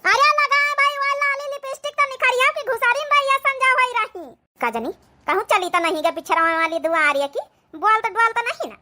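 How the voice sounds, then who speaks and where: A woman's voice: very high voice, animated, a little fast, sharp tone, full character voice. A woman voicing a nagging, sarcastic older village mother-in-law in a comic cartoon, scolding and grumbling with an Awadhi accent.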